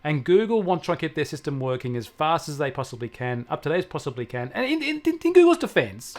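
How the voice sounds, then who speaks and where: Higher-pitched Australian man explaining technology. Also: very animated, quick pace. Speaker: technology expert answering questions. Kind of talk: conversational explanation and advice.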